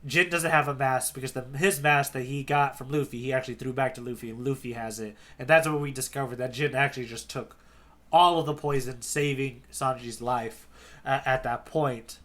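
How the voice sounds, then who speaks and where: A man hosting a podcast, casually retelling a comic chapter, animated with many fillers and restarts. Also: mid-range voice, a little fast. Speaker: podcast host, tour guide.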